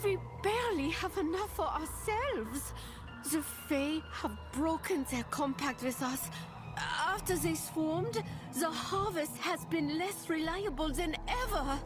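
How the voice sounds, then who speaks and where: Distressed female villager, pleading, extremely high pitch, unhurried, over score. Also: lively intonation, over music, full character voice. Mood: pleading, worried. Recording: game score behind.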